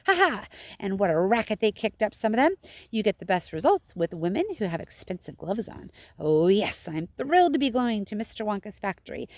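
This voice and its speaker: A woman voicing a brash, chatty, excitable and boastful gum-chewing girl in a children's storybook. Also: fairly high pitch, dry recording. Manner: chatty, self-satisfied, excitable. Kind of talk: children's storybook read-aloud.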